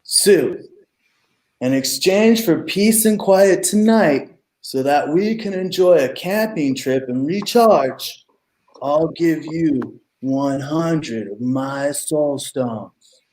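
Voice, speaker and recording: Man playing a slow, earnest, carefree hero. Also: a little high, expressive, a little mellow. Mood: solemn offer. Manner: deliberate, earnest. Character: carefree, unflappable male hero pal, solemnly earnest. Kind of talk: audio drama acting. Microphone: video call. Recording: roomy, echoing video call.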